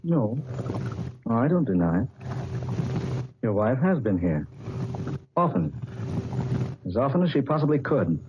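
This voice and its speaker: An actor playing a smooth, urbane millionaire who answers evasively with hesitant pauses, in 1940s radio acting. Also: male voice, medium pitch, big pitch swings, background noise. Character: smooth, oily millionaire seducer. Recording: muffled old radio recording with music.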